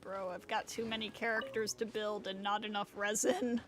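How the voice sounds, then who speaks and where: Casual woman game streamer, mid-pitched. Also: steady tone, moderate pace, background noise. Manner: musing, informal. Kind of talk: casual live gameplay commentary. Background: game music.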